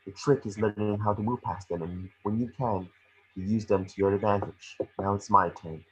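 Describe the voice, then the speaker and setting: A man reading the part of a gentle, remorseful witch ghost mentor in an amateur table read, earnest and measured. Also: on the low side, fairly even intonation, dull audio, background noise, lightly acted.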